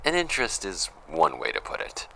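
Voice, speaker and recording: A man playing a gravelly, stern investigator. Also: extremely low pitch, huge pitch swings, brisk, sharp tone, a little hiss or hum, full character voice, low-quality recording. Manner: curt, impatient and serious. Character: stern, wary male investigator interviewing a suspect. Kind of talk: audio drama acting.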